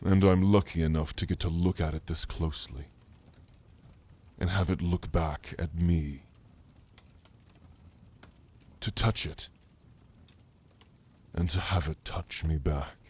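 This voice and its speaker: A man playing a gentle, scholarly orc mage in a soft, earnest, halting ASMR roleplay, speaking intimately to the listener. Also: low-pitched, steady tone, breathy edge. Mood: tender, admiring.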